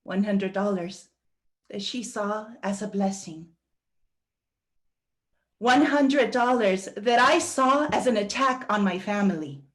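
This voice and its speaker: A woman reading a first-person monologue aloud as a guest reader, with a deliberate, earnest storytelling delivery. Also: mid-range voice.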